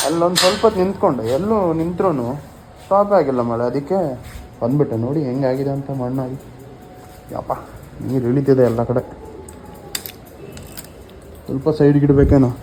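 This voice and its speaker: A man's voice: mid-range voice, fairly quick, long pauses, darkish tone, slight background noise, low-quality recording. A man with a Karnataka Kannada accent chatting informally to viewers as a vlogger. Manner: informal, addressing viewers as guys. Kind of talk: casual vlog chat.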